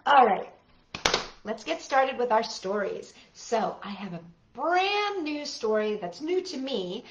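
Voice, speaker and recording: Woman storytime host for young children, high lively voice, exclaims and chats to listeners between lines, roomy sound. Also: slow pace, slight background noise. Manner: animated, exclaiming, addressing children directly. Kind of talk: read-aloud storytelling for young children with chatty asides. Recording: roomy, dull lo-fi sound, faint music.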